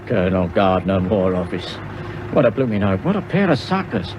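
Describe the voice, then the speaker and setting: A man's voice: deep voice, big pitch swings, moderate pace. An actor playing a shifty male crook posing as a taxi driver in an old radio serial. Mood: sneering. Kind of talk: 1940s radio acting. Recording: noisy old radio recording.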